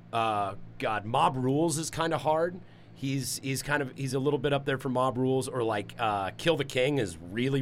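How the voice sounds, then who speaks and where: A man with a deep voice hosting a podcast, rambling loosely about singing and music apps. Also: fairly bright tone, slight background noise. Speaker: podcast host; singer. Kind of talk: casual chat.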